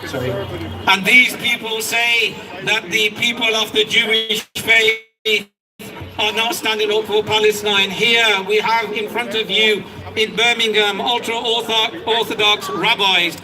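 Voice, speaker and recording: A man hosting an outdoor protest rally, with a big loud voice. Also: high-pitched, level tone, a little slow. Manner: loud, self-described big voice, leads shouted chants. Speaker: rally host. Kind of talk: rally compering and chanting. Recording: open-air rally from far off, music and crowd.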